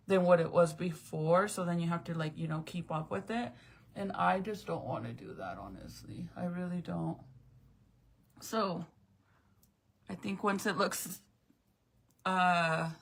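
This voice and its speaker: A woman in her late thirties chatting casually and frankly with livestream viewers, rambling from topic to topic. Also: fairly low pitch. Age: 30s. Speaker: livestream host.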